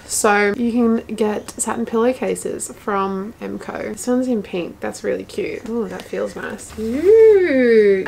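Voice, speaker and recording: A young Australian woman talks straight into a camera held at arm's length in a small room at home. Her voice sits at an ordinary middle pitch with plenty of vocal fry. She rambles in a relaxed, chatty way, full of little fillers. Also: a little hiss or hum. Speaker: vlogger. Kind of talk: casual vlog chat to camera.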